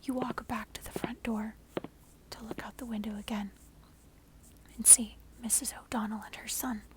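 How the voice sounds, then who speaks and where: A woman telling a scary story in a breathy, unvoiced ASMR whisper, close to the microphone. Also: on the high side, flat delivery, normal speed. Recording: soft noise, mic scratching. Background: scratching and layered sounds.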